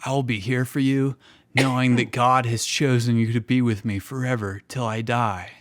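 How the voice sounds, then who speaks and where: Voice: mid-range voice, fairly even intonation, normal speed, close to an everyday voice. An amateur reader voicing an earnest, lovestruck suitor in a comic story reading. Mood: tender, earnest.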